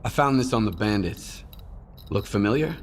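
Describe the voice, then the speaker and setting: An actor playing a terse, determined mercenary loner in a video game, speaking bluntly in few words. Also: male voice, on the low side, lively intonation, moderate pace, slight background noise, close to an everyday voice. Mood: businesslike. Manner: laconic, blunt. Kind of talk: video game cutscene dialogue.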